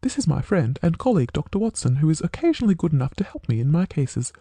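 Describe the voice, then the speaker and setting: Guy doing a brisk dry-witted detective, dark husky tone, swoopy. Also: on the high side, very animated, no room sound, voice pushed up, exaggerated voice. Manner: brisk, crisp, dryly confident. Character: keen, brisk male detective, dry wit. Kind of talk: audiobook character voice.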